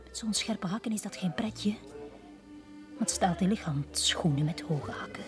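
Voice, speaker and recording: Casting: woman playing weary dockside bar girl, medium pitch, chatty, plain-spoken, forward, faint music, radio play. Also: moderate pace, in a whisper, close to an everyday voice. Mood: coaxing, weary. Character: tired, forward harbour bar hostess seeking company. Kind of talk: 1980 radio drama acting.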